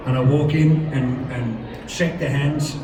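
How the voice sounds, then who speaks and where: A man's voice: mid-range voice, steady tone, takes it slowly, noisy background. A man from England with a Midlands accent, telling stories at a stage Q&A in a wry, self-deprecating way. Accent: English, Midlands. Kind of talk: stage Q&A chat. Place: large hall with audience. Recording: echoey hall, far off, crowd.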